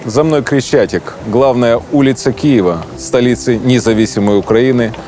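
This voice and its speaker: A man's voice: medium pitch, a little mellow. Man giving a declamatory promo outdoors. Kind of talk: channel promo announcement. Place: street in a city.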